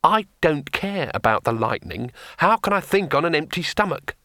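A hapless male wizard, gloomy and self-pitying, who grumbles through every complaint in a raised, strained pitch, as a man reads him aloud. The voice is bright and sharp, recorded dry and close, in a storybook reading. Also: big pitch swings, moderate pace, no echo, heavily acted. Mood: grumbling.

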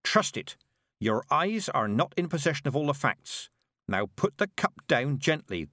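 A man reading an audiobook, voicing a stern, sharp-tongued old woman witch who snaps orders. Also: a little high, very animated, unhurried, dry recording, clean studio sound, voice pushed up, exaggerated voice. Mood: commanding. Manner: curt, commanding, snaps orders.

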